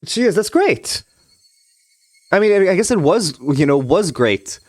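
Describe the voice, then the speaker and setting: A man's voice: high voice, sing-song, measured pace, dry recording, studio quality. A young man from Trinidad and Tobago chatting with his stream viewers in a rambling way, full of restarts, now and then reading a game's text aloud. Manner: rambling, frequent restarts and hedging fillers. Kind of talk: casual live-stream chat with viewers, reading a visual novel aloud.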